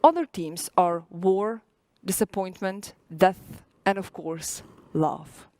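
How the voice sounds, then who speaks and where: A woman from Poland presenting a poet at a festival, reading a prepared introduction in an even, measured way. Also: lowish voice, dry recording.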